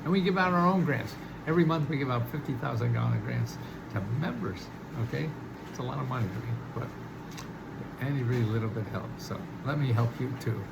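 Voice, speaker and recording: A man's voice: medium pitch, lively intonation, a little fast, gravelly, very noisy. An older man hosting a casual money-advice talk, chatty and amused, chuckling between points. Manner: chatty, chuckles at own jokes, many restarts. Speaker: host giving money advice. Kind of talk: casual direct-to-camera talk.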